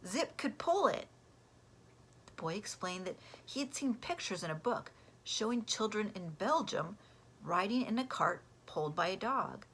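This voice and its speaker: Female storybook reader, teacher type: lively pitch swings, forward bright tone, some rasp, expressive delivery. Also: normal speed. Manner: animated, acts out character voices. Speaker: elementary school teacher reading aloud. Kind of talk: expressive read-aloud of a children's storybook.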